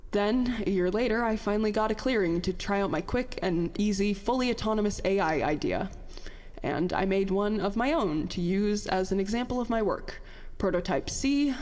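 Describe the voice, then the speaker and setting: A woman playing a bitter, worn-out young inventor in a confessional audio drama monologue, halting, self-deprecating and emotional. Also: mid-range voice, darkish tone. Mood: weary, resigned. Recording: long hall-like reverb on voice.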